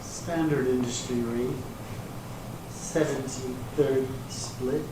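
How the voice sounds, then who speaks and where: A man acting in a readers theater piece as a slick, smooth-talking promoter with a grandiose, placating salesman's patter. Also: mid-range voice, fairly even intonation, takes it slowly, natural voice. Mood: defensive, placating. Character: slick, smooth-talking male promoter pitching a glamour calendar. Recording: echoey hall from far off, hissy room noise.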